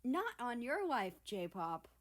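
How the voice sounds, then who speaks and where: A woman's voice: a little high, sing-song, takes it slowly, pitched above the performer's own voice. A woman voicing a brash, smug teenager in a story, with sarcastic, teasing retorts. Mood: dismissive teasing. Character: brash, smug teenage country-person, teasing and hot-headed. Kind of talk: animated storybook character voice.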